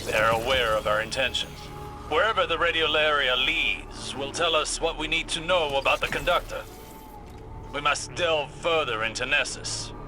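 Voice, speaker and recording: A man playing a grave, scholarly sci-fi researcher in a video game, measured and formal. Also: on the high side, natural voice. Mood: grave, resolute. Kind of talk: scripted video game dialogue. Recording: music behind.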